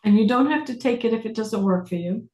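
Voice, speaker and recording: A woman facilitating a self-inquiry class, speaking deliberately with pauses, questions and phrases repeated for emphasis. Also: a little high, darkish tone. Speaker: workshop facilitator leading a group class. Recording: roomy echo on the voice.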